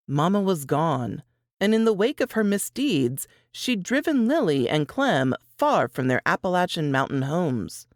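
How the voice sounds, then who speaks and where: A woman reading scripted fiction aloud as narrator, in an expressive, deliberate, dramatic style. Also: deep voice, no room sound, studio quality.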